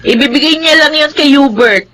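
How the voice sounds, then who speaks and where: A woman's voice: high voice, normal speed, crowd noise, close to an everyday voice. An actress playing a blunt, exasperated young female friend in a Filipino radio drama.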